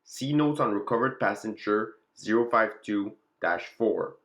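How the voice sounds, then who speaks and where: A man's voice: on the low side, lively intonation, a little slow, a bit bright. A man narrating a fictional classified document in a formal, clinical log-reading manner. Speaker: narrator and presenter of the channel.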